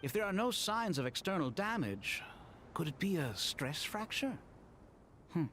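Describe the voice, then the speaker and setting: Animated, analytical scholar. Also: male voice, high voice, measured pace, a little hiss or hum, exaggerated voice. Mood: pondering. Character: analytical male engineer scholar, thoughtful and precise. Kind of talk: video game voice acting.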